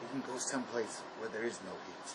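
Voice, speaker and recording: An actor playing a gruff, hard-nosed man on the run, sarcastic and bossy, in dramatic dialogue. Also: on the low side, brisk, heavy background noise, close to an everyday voice. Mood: grudging.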